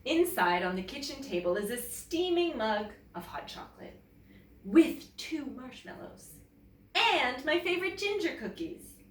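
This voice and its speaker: A woman from Canada reading to children as a teacher, telling a story expressively and deliberately and putting questions to her listeners. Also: sits high, very animated. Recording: roomy, distant mic, faint noise.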